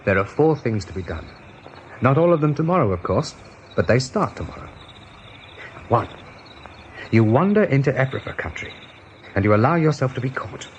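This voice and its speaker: An actor playing a brave, steady rabbit leader in a radio play, decisive and plain-spoken. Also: male voice, mid-range voice, normal speed, pauses often, lo-fi sound, a little hiss or hum, natural voice. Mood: calm, resolute.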